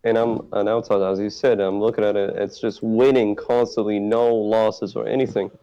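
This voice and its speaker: A man answering as a guest on a roleplay talk show, speaking haltingly and searching for words. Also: fairly low pitch, level tone, dark tone. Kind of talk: in-character roleplay interview. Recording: muffled lo-fi mic.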